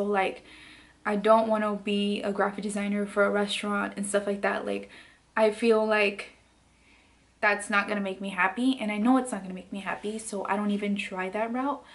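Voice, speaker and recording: A woman in her late twenties talking candidly to camera in her bedroom, soft and hesitant with many fillers. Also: mid-range voice. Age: late 20s. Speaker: beauty vlogger. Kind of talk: candid personal vlog. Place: bedroom, indoors.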